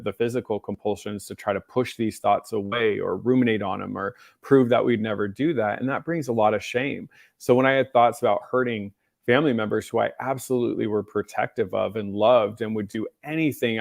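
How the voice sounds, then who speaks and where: A man's voice: fairly low pitch, no echo, clean studio sound. An American man hosting a question-and-answer livestream, speaking conversationally and earnestly, with emphatic repeated lists as he mixes personal testimony and advice. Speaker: mental health advocate hosting a livestream Q&A.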